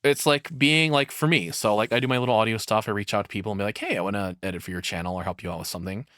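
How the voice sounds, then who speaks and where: Deep-voiced man giving casual reaction commentary. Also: rapid-fire, a bit bright, dry recording, studio quality. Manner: rambling, conversational, swears casually. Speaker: reaction streamer.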